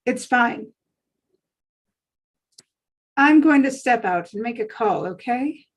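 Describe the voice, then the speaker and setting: Reserved, reassuring professional woman voiced by a female reader; measured, slow, slightly high, table-read delivery. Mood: politely evasive. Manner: calm, measured, supportive.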